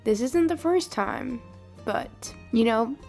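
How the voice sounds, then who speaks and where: Young woman a bit hoarse, fairly high voice, chatty art voiceover, soft music under it. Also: expressive, takes it slowly. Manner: chatty and upbeat, with filler "like"s. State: hoarse from a sinus infection. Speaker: art channel host. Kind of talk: voiceover over drawing footage.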